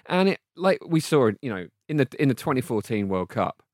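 A man's voice: mid-range voice, sing-song, fairly quick, dry recording, clean studio sound. A British man chatting casually about football, opinionated and given to mock exasperation. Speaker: podcast guest pundit in the hot seat. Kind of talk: casual football banter chat.

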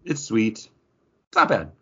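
A man's voice: fairly low pitch, sing-song, measured pace, a bit bright, breathy edge, lo-fi sound. A man co-hosting a podcast in casual chat, with a dry, teasing manner. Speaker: podcast co-host.

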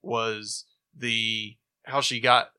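A man's voice: fairly low pitch, little pitch movement, very slow, sharp tone, clear, resonant tone. A middle-aged man chatting casually as a podcast co-host. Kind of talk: casual chat.

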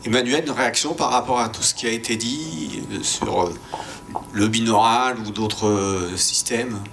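Male moderator, gravelly mid-range voice, halting unscripted questions, slight ambient noise. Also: medium pitch, a bit bright. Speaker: panel moderator.

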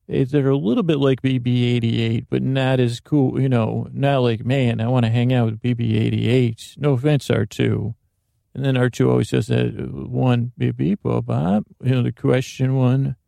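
Gravelly, mellow-voiced sleep podcast host. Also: male voice, medium pitch, steady tone, no room sound, clean studio sound. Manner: slow, meandering, self-interrupting. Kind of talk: rambling bedtime monologue.

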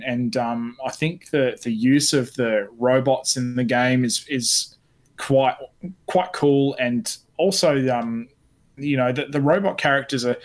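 Man chatting hesitantly in a mid-range voice. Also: mid-range voice. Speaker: podcast panellist. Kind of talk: casual chat.

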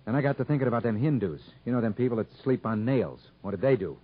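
An actor playing a stubborn, plain-spoken convict gunsmith with a rural American accent in a 1950s radio drama. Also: male voice, medium pitch, expressive, quick pace, slightly rough. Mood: reflective, earnest. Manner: folksy, ungrammatical, earnest speech. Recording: muffled vintage 1950s radio recording.

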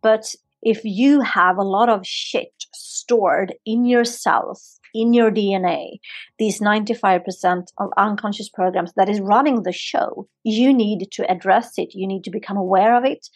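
A woman's voice: medium pitch, fairly even intonation, a little slow, no room sound. A woman from Sweden with a Swedish accent, a yoga therapist and physiotherapist giving earnest, flowing answers in a podcast interview. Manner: earnest, flowing, repeats herself for emphasis.

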